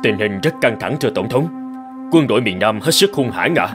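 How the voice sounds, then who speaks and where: A man's voice: on the low side, expressive, brisk, music in the background, natural voice. A man playing an anxious, deferential presidential aide in a cartoon, respectful and hesitant. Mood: tense. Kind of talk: cartoon drama acting.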